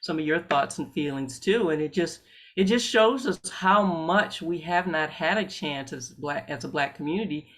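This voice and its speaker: A man's voice: fairly high pitch, moderate pace, a bit bright. A man facilitating a workshop discussion, a clinician speaking in an affirming, encouraging and reflective way.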